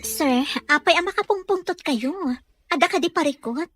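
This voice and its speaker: Woman actor, gentle nurse and mother, high pitched, very expressive, audio drama. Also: moderate pace, dry recording, soft background music. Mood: concerned. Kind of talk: Ilocano audio drama acting.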